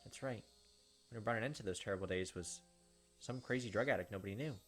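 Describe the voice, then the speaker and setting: A man's voice: on the low side, fast talker. A man hosting a gaming video, reading a visual novel aloud and adding casual commentary over game music. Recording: music behind the voice.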